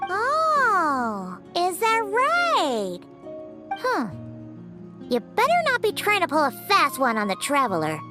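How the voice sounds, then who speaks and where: A woman voicing a small, childlike fairy companion in a video game, perky, excitable and protective, with an exclamatory way of talking. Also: very high-pitched, slow pace, full character voice. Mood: suspicious, grumpy. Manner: refers to self in third person, exclamatory. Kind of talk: animated video game voice acting. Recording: music behind the voice.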